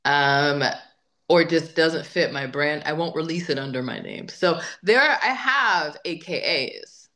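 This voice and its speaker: A woman's voice: low voice, lively intonation, unhurried, sharp tone. A woman singer-songwriter being interviewed, talking in a chatty, emphatic way and often repeating words while she thinks. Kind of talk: casual interview conversation.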